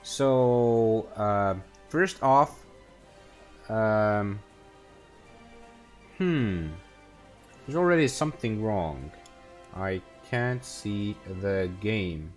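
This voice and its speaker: A young man with an Estonian accent speaks slowly, in a fairly low voice, at a desk microphone. He talks halting and casual, stopping often on fillers and false starts as he streams a strategy game. Faint music plays behind him. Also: lively intonation. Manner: halting, many fillers and restarts. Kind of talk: casual gameplay stream commentary.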